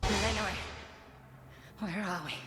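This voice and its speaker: A woman's voice: medium pitch, fairly even intonation, slow pace, over loud music, natural voice. A woman playing a stern, vengeful witch headmistress in a video game, with haughty, archaic, formal speech. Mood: alarmed, indignant. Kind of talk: dramatic video game voice acting. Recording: long hall reverb, clear background noise.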